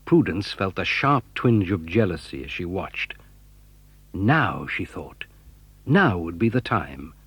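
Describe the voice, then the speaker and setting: A man with a husky voice narrating a children's story in a scripted dramatisation. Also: deep voice, big pitch swings, takes it slowly, a bit bright, dry recording, heavily acted.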